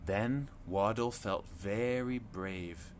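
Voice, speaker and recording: A man reading a picture book aloud to children in a slow, clear, expressive storytelling manner. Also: fairly low pitch, a little hiss or hum.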